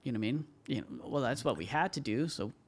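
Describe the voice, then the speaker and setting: A man's voice: mid-range voice, fast talker, husky. A man who works as a tile contractor, telling stories as a podcast guest in a rambling way, full of fillers and "you know". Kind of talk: casual interview storytelling.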